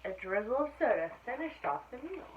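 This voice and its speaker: A woman reading a children's picture book aloud expressively, pausing to put questions to her listeners. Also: high voice, sing-song. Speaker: storytime reader. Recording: muffled lo-fi sound with faint noise.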